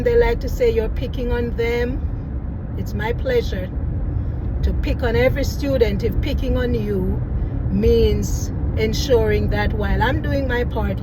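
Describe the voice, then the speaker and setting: A woman's voice: a little high, noisy background. A middle-aged woman with a Jamaican accent, a teacher telling a story in an emphatic, preachy way with many "right?" tag questions. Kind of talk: storytelling vlog.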